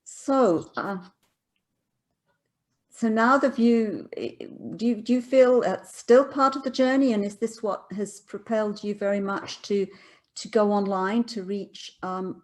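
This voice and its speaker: A woman interviewer on a video call, with a mid-range voice. She affirms her guest warmly in words and builds long, searching questions. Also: mid-range voice, a little slow. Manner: encouraging, affirming responses. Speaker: interviewer host. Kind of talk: casual interview conversation.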